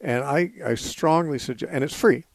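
A man hosting a call-in radio Bible teaching show, speaking earnestly and steadily with frequent pauses and "uh"s. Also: a little high, no room sound.

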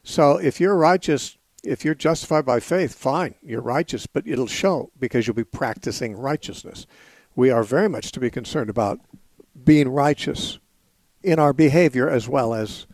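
An earnest man teaches scripture on a call-in radio show in a mid-pitched voice. He talks steadily, stopping often to restart his sentences. The sound is dry and close, with no room around it. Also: darkish tone, no echo.

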